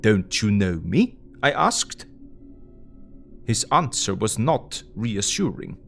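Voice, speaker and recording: An actor playing a learned, courtly older doctor in an audio drama, speaking earnestly in quaint, deliberate phrasing. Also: male voice, on the low side, very animated, gravelly, faint music behind, heavily acted. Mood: grave, rueful. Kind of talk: dramatised diary narration.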